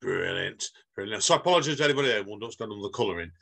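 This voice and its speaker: A man's voice: on the low side, lively intonation, racing, creaky, a bit bright. A man hosting a podcast interview in a chatty, rambling way, laughing at himself as he goes. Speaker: podcast host. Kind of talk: casual interview chat.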